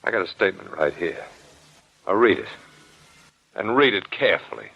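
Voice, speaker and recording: An actor playing a dogged, hard-nosed newspaper reporter who questions bluntly and insistently in a 1940s radio drama. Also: male voice, deep voice, big pitch swings, normal speed, vocal fry, fairly bright tone, breathy edge, heavily acted. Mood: urgent, insistent. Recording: dull old 1940s radio recording.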